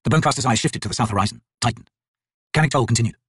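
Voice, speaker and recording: A man reading a fantasy audiobook in a theatrical, solemn, expressive manner. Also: mid-range voice, racing, husky, dry recording, studio quality. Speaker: audiobook narrator, actor. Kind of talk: dramatic scripted fantasy audiobook narration.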